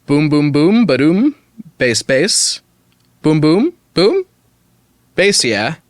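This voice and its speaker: A man's voice: fairly high pitch, sing-song, slow, no room sound, clean studio sound. A man playing an overdramatic actor giving a mock dramatic reading of pop lyrics on a comedy podcast. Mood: theatrical. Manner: theatrical.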